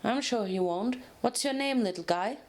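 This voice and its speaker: A woman's voice: a little high, normal speed, darkish tone, lightly acted. A woman acts out a female unicorn in a fantasy story reading. She sounds kind and bookish, earnest in her opinions and reassuring, in a medium to slightly raised voice. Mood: friendly.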